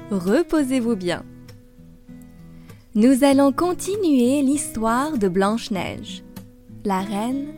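Slow, high-pitched French woman teaching. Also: a little mellow. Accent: French accent in Mandarin. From France. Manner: careful, deliberate enunciation. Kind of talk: scripted language lesson with slow storybook reading.

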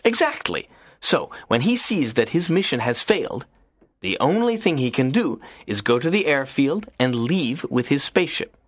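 An actor playing a lonely, earnest teenage schoolboy in an audiobook, talking in a soft, low, pleading way. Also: male voice, big pitch swings, normal speed, no room sound. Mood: calm, planning. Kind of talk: audiobook character reading.

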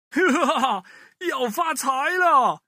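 Man putting on a greedy cartoonish woodcutter villain, bright. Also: very high-pitched, animated, slow pace, no echo, clean studio sound, pitched above the performer's own voice, exaggerated voice. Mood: gloating. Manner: gleeful, exclamatory, cartoonishly sly. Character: greedy, scheming bald male woodcutter villain.

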